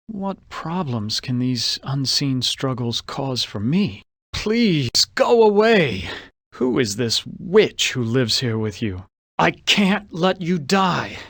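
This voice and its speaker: A man's voice: medium pitch, fairly bright tone, no echo, clean studio sound, exaggerated voice. A man playing a suave, vain, anguished adventurer in a gothic horror video game, speaking in a refined, theatrical way. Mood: questioning, then desperate, pleading. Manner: theatrical, refined, slightly haughty.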